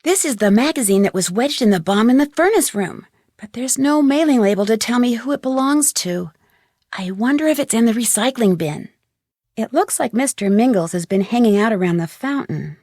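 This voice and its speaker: A woman's voice: fairly high pitch, lively intonation, normal speed, a little mellow, dry recording. A woman playing a curious, plucky young amateur detective in a video game, articulate and inquisitive as she thinks things through. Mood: focused, musing. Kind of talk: scripted video game voice acting.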